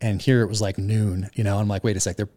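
A man who is an author, chatting casually in an interview and telling relaxed stories with plenty of fillers. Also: fairly low pitch, quick pace, dry recording. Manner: relaxed storytelling with frequent fillers.

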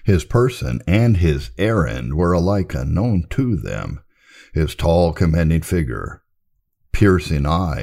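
A man reading historical narrative aloud from a script in a measured, formal audiobook style. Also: a bass voice, gravelly, mellow.

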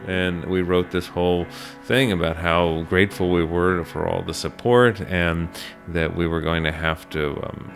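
Deep-voiced earnest American man over music. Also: gravelly. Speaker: small business owner being interviewed. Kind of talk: conversational storytelling interview.

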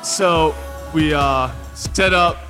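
Young male co-host, twenties; slightly high voice; relaxed, joking storyteller over a soft music bed. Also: very slow. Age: 20s. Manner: laid-back storytelling, joking. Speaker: podcast co-host. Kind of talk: casual chat.

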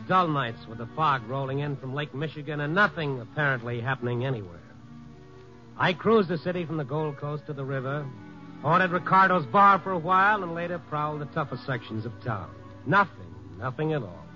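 Wry hard-boiled radio noir reporter. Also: male voice, a little high, lively intonation, brisk, bright, forceful tone, soft background music. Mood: wry, weary. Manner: wry, world-weary, wisecracking. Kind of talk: 1950s radio noir narration and acting. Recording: muffled vintage 1950s radio recording.

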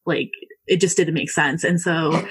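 A young American woman chatting easily through an interview, her voice soft and breathy with a slight husk. She strings her thoughts together with frequent likes and ums, relaxed and unguarded. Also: low voice, measured pace. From United States. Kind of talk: casual interview.

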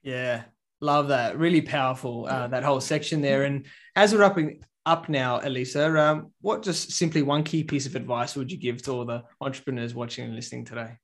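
A man's voice: mid-range voice, brisk. A man hosting a podcast interview, enthusiastically praising his guest and asking questions. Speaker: podcast host.

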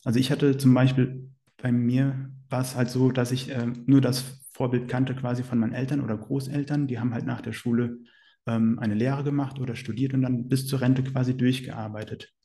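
A man's voice: medium pitch, little pitch movement, moderate pace, warm tone. A man interviewing a guest, asking long, hesitant questions in a casual tone.

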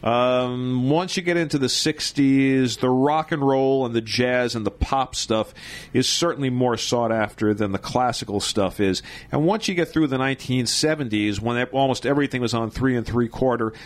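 A man's voice: medium pitch, normal speed. A man speaking as a guest expert, informal and colloquial, in casual conversation. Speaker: guest expert, tape machine repairer.

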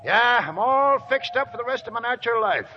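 An actor playing a seasoned old American gold prospector, folksy and wry, in 1940s radio drama style. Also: male voice, very high-pitched, expressive, a little fast. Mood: wry, contented. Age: older. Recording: dull, noisy old radio recording.

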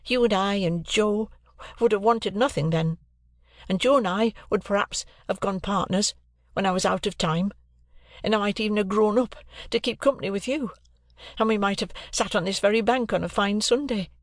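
An earnest, discontented young man, as a male reader plays him. He talks with impatience and longing, his voice pitched somewhat above the reader's usual register, recorded dry and close. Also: moderate pace, dry recording. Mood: wistful.

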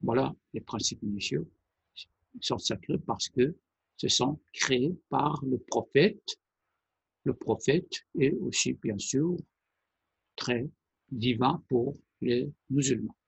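Male presenter, measured and hesitant, husky low-energy delivery with a dry sound. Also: dry recording, low-quality recording. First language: Persian. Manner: deliberate, halting phrasing with pauses. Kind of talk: explanatory monologue.

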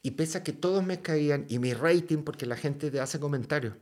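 A man from Chile with a Chilean accent, a podcast guest telling a story in a casual, lively way, full of slang and swearing. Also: fairly high pitch, brisk.

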